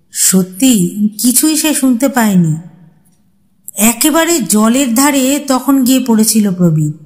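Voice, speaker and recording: A woman narrating a horror story as a storyteller, with a suspenseful, expressive dramatic reading. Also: high-pitched.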